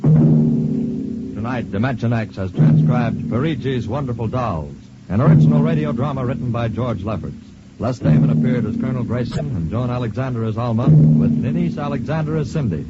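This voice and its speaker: A man's voice: medium pitch, animated, normal speed. A man announcing scripted credits in the formal style of a 1950s radio broadcast. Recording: dull radio recording, loud music behind.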